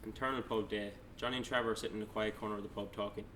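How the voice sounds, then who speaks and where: A man's voice: fairly low pitch, steady tone, racing, bright, forceful tone, slight background noise. A man narrating stage directions at a table read, plainly, with small stumbles as he reads.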